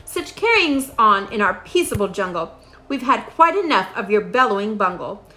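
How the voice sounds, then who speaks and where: A woman's voice: medium pitch, big pitch swings, moderate pace, sharp tone, pitched below the performer's own voice. A woman reading a children's storybook as a big bossy female kangaroo, haughty and scolding. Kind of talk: children's storybook read-aloud.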